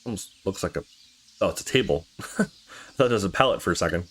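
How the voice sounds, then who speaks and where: A man's voice: low-pitched, expressive, fast, fairly bright tone, husky. A man giving casual let's play commentary on a game and reading its dialogue aloud.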